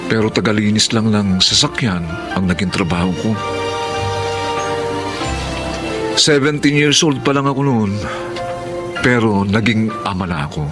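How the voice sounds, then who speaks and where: A man's voice: lowish voice, animated, moderate pace, over music, lightly acted. An actor playing a defiant, lazy young husband and father in a Filipino radio drama, arguing in a whiny way. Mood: rueful narrating.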